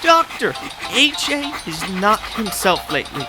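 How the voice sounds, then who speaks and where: A man's voice: high-pitched, sing-song, unhurried. A man playing a frantic island mystic. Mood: worried. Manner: exasperated, panicky outbursts. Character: pampered island mystic, anxious and dramatic. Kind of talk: comedic audio drama acting. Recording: reverberant room with music.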